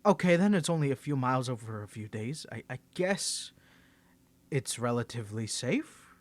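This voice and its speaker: A man acting a lively, flustered young superhero. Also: medium pitch, moderate pace, natural voice. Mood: nervous, reluctant. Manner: stammering, teasing, swings from confident to nervous. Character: cocky young male superhero, flustered, hiding his secret identity. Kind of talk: ASMR roleplay voice acting.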